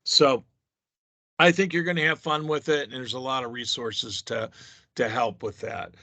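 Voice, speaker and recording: A man's voice: medium pitch, steady tone, normal speed, bright, forceful tone, dry recording. A man speaking as a university instructor on a conference call, explaining things to students informally in an encouraging, reassuring way. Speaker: university instructor leading a course orientation call.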